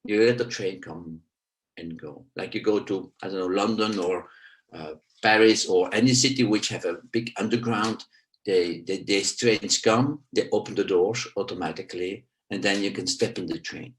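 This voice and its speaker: A man's voice: moderate pace, low-quality recording. A man in his sixties from Belgium, speaking English as an in reflective spoken teaching. He searches for words and his voice jumps up on key points. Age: 60s. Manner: animated, pitch leaps on emphasis, searching for words. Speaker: guest, nonduality teacher.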